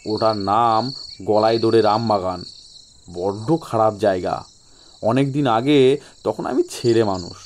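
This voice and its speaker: A man playing a sly local gentleman in an audio story, giving ominous ghost warnings with feigned reluctance. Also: mid-range voice, a little hiss or hum, close to an everyday voice. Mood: ominous, confiding.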